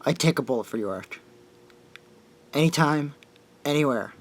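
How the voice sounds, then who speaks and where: A man plays a loyal young sidekick in a radio drama. His voice sits a bit high and sounds gentle and sincere. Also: animated, normal speed. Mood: devoted, sincere. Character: loyal, devoted young male friend. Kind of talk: audio drama acting.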